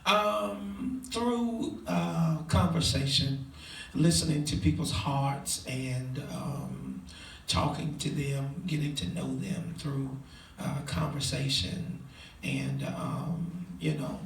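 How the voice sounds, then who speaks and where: A man in his twenties, a preacher, answering interview questions hesitantly with many fillers and soft laughs. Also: a little high, animated, slow pace, gravelly. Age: 20s. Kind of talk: casual Q&A interview. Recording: echoey room, far from mic.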